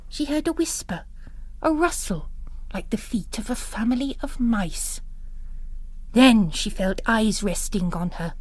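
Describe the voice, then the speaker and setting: A woman narrating a novel as a scripted literary reading. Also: on the high side.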